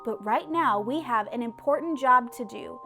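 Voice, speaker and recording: A woman's voice: on the high side, moderate pace, bright, forceful tone, faint music behind. Woman acting firm, patient kindergarten teacher. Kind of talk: children's storybook character reading.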